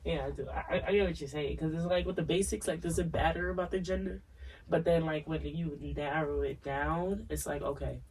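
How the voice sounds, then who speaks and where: Raspy, congested man a bit off-mic. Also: a little high, fairly even intonation, fairly quick, a little mellow, distant mic, slight background noise, low-quality recording. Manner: laughs often, asks probing personal questions. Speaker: podcast co-host. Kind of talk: casual podcast chat.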